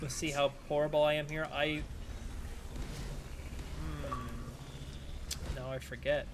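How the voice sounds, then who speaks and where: A man with a fairly high voice talks loosely through a game stream, drifting between play and viewer questions. His voice sounds strained and a little worn. Game sounds and noise run clearly beneath him. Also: little pitch movement, slow pace. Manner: rambling, chatty, answering chat between plays. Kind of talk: casual live-stream gameplay commentary.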